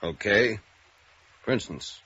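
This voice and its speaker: A tired American police sergeant in an old radio noir drama. His voice is husky and his talk blunt and skeptical. The recording sounds dull and dated. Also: on the low side, takes it slowly, sharp tone, exaggerated voice. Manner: blunt, colloquial. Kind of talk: 1950s radio noir acting.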